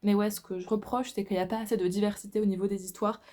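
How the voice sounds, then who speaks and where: A woman's voice: mid-range voice, level tone, quick pace, warm tone. A woman in her twenties, a book vlogger, chatting casually and informally to camera, with slangy phrasing. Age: 20s.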